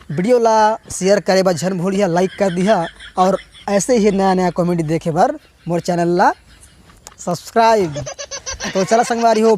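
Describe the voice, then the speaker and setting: A high-voiced man with a Chhattisgarhi accent, his throat a little hoarse. He jokes to his viewers in a chatty stand-up style and breaks into laughter between lines. A faint hiss of noise sits behind him. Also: a little mellow. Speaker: stand-up comedian and channel host. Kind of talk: casual stand-up comedy monologue.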